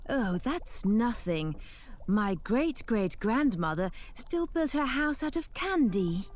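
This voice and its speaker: An actress voicing a calm, friendly witch who lives alone in the woods, in a game cutscene. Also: a little high, slow pace. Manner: calm, explaining. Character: young woman, a hermit witch, calm and friendly.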